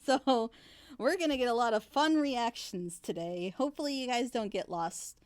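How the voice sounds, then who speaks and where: A woman's voice: on the high side, normal speed, darkish tone. A woman hosting a casual group reaction with an informal, easygoing way of talking. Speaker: host, series director, voice actor.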